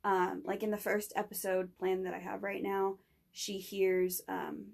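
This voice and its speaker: Young woman with heavy vocal fry. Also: medium pitch, little pitch movement, unhurried, a little mellow. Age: young adult. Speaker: student podcast guest pitching a project. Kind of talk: informal spoken pitch in an interview.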